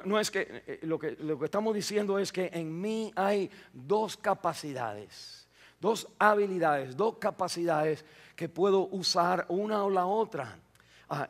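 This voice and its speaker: High-voiced pastor preaching with rising bursts. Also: male voice, fairly bright tone. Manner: preaching with rising bursts of emphasis, frequent repetitions and restarts. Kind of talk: sermon. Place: church sanctuary.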